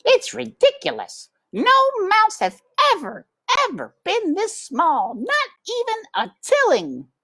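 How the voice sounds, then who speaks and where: A woman's voice: very high-pitched, wildly swooping pitch, slow pace, hardly any pauses, bright, forceful tone, no room sound, voice pushed up, heavily acted. A woman voicing a haughty, indignant aunt mouse in a storybook, making emphatic pronouncements. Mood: scandalized.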